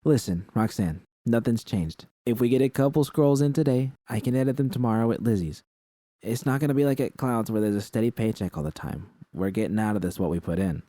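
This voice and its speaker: A man's voice: medium pitch, fairly quick, mellow, no room sound, close to an everyday voice. A man voicing a streetwise, protective young woman in a story, with blunt, slangy, reassuring talk. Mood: reassuring, firm.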